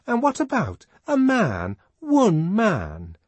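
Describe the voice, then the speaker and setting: A man's voice: on the high side, big pitch swings, moderate pace, a little mellow, husky, dry recording, voice pushed up. A man voicing an exasperated, preachy young woman in an audiobook, speaking emphatically. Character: exasperated, energetically protesting young woman, sententious and scornful. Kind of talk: audiobook character acting.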